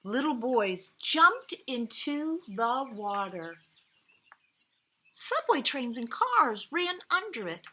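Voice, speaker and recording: A woman with a bright, fairly high voice reads a children's story outdoors as birds chirp. Her delivery is slow and expressive, with dramatic pauses and stressed words. Now and then she speaks gently and directly to her young listeners. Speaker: storyteller reading a picture book to children. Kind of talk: expressive children's read-aloud.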